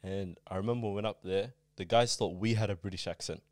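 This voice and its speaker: A man's voice: lowish voice, quick pace, darkish tone, dry recording. A young Australian man telling stories in a casual podcast chat, often tagging on "yeah". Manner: storytelling, repeats yeah.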